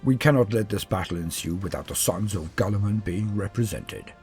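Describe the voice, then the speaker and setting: A man's voice: on the low side, expressive, brisk, a little mellow, faint music behind. A man voicing a grizzled giant warrior commander in a sci-fi audio story, solemn, portentous and commanding. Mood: grave, commanding. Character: grizzled giant Space Marine chapter lord, grave and commanding. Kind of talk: audiobook character acting.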